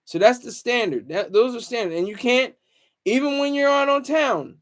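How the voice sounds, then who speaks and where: High-pitched man chatting casually on a livestream. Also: moderate pace. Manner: rambling, repeats phrases for emphasis. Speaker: livestream host. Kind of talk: casual livestream chat with viewers.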